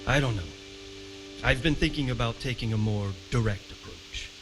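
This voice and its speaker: A man playing a wary, troubled medieval lord in a video game, speaking with measured authority. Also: mid-range voice, music in the background, lightly acted. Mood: considering, commanding. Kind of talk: cinematic game dialogue.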